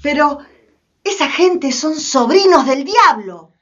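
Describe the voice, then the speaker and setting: A woman's voice: sits high, expressive, slow pace, dull audio. A woman playing an outspoken, indignant common woman who exclaims and questions colloquially in a community radio play. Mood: curious, scandalized.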